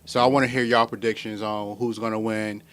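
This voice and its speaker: A man's voice: on the low side, little pitch movement, a little fast, a bit bright, a little hiss or hum. A man hosting a casual chat, putting questions to the others in loose, slangy speech with some swearing. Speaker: podcast host.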